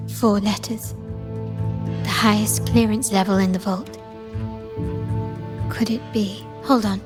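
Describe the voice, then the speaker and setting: A woman playing a composed, shrewd young heiress in a game, speaking formally and deliberately. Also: mid-range voice, fairly even intonation, lightly acted. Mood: composed, analytical. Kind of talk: dramatic game dialogue. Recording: reverberant hall, music underneath. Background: music.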